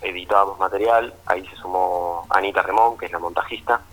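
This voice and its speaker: A man's voice: sits low, steady tone, a little fast, fairly bright tone. A man with an Argentine accent answers casually as a filmmaker in an interview over a phone line, explaining informally and checking with little tag questions. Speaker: documentary filmmaker being interviewed.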